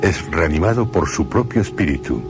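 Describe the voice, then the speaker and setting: Man narrating radio horror, super deep voice, slow and ominous, music playing under him. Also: lively intonation. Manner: measured, ominous, dramatic pauses. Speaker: radio drama host and narrator. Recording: radio broadcast with clear music bed.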